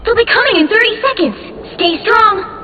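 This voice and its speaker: Slightly noisy and muffled, a game's battle announcer. Her very high, bright voice is urgent, emphatic and commanding. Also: lively intonation, normal speed, soft background music, full character voice. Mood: urgent, rousing.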